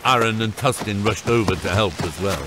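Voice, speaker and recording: A man's voice: on the low side, fairly quick, heavy background noise. A man narrating a scripted fantasy audiobook. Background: loud music.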